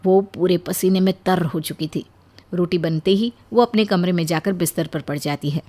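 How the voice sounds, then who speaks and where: A woman narrator reading a scripted animated family story. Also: lowish voice, moderate pace.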